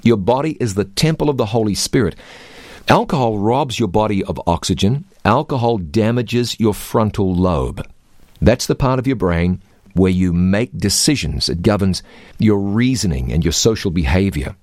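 A man's voice: lowish voice, expressive, moderate pace, a little mellow, no room sound, studio quality. A man hosting a radio Bible question show, speaking in an earnest, emphatic preaching manner.

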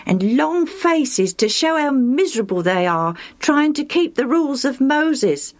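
Woman putting on an eager, high-voiced man. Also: sing-song. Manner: eager, quick to agree. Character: eager healed man agreeing with friends.

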